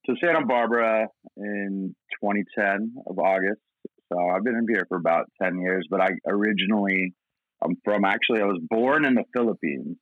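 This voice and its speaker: A man's voice: on the low side, normal speed, dry recording. An American man from Portland, Oregon, a comedian guesting on a podcast, telling anecdotes in a rambling, slangy, self-deprecating way over a tinny line. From Portland, Oregon, United States. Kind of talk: casual anecdotal chat.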